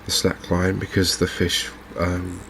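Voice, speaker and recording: An Englishman with a low voice gives softly spoken ASMR commentary on a game. His delivery is extremely even, gravelly at the edges, unhurried and a little hesitant. Faint noise sits behind him. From England. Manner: unhurried, hesitant, gently musing. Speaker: gaming video host. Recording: faint background noise from open windows and fans.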